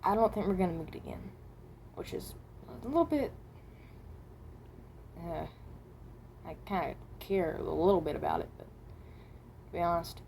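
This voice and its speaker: Woman playing a halting, muted, low-voiced dimension traveler. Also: very animated, gravelly, a little mellow, a little hiss or hum, full character voice. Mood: hesitant, wistful. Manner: halting, hesitant, trailing off and rephrasing. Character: hesitant, mild-mannered traveler from another dimension. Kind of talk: improvised in-character voice lines.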